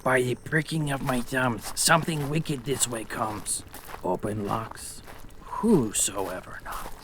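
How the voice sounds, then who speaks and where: A man playing an eerie witch who chants spells in a slow singsong, in a dramatic Shakespeare reading. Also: mid-range voice, fairly bright tone, a little hiss or hum. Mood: ominous.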